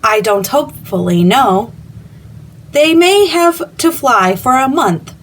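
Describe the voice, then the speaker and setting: A woman's voice: sits high, expressive, takes it slowly, far from the mic, heavily acted. A woman voicing an apologetic, sorrowful creature in a children's storybook, with a plaintive lilt. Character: apologetic, sorrowful creature sending birds away. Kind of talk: children's storybook read aloud.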